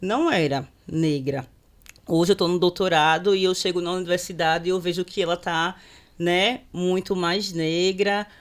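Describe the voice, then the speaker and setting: Woman in her 30s, Sergipe accent, mid-pitched, relaxed storyteller who keeps checking in with her listener. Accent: Sergipe Brazilian Portuguese. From Sergipe, Brazil. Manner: unhurried, tags many phrases with a questioning aside. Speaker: public servant guest on a videocast. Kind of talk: conversational storytelling in a panel discussion.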